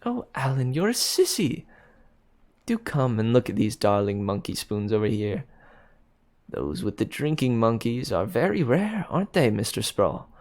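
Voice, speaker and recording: A man's voice: mid-range voice, very animated, normal speed, darkish tone. A man voicing an eager, gushing young woman engaged to be married.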